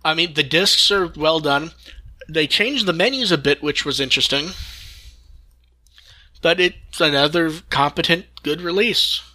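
A man's voice: on the high side, unhurried, long pauses, pushed and bright. A man hosting a casual podcast news discussion, often restarting his phrases, on a close dry mic. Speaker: podcast host.

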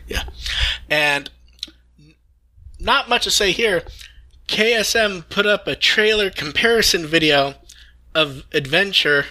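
A man's voice: sits high, takes it slowly, pauses often, sharp tone. A man hosting a podcast, talking casually through news with a wry, dry sense of humour. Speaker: podcast host.

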